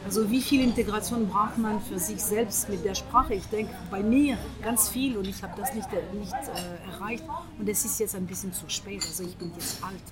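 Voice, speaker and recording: A middle-aged woman from France speaking German with a French accent, often searching for words in a casual breakfast conversation, with many pauses and fillers. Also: medium pitch, crowd noise. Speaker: guest. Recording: breakfast table with a group chatting in the background.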